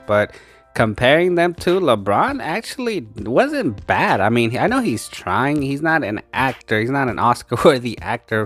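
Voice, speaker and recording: A man hosting a movie review channel, sounding congested and tired as he recovers from losing his voice, speaking close into a microphone. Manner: low-energy, tired. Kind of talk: casual spoken review. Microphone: speaking close into a mic, voice-over.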